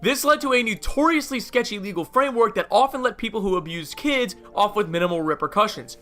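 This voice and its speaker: A man's voice: high voice, expressive, fairly quick, pushed and bright. A man narrating a scripted video essay, with casual asides, over music. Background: faint music.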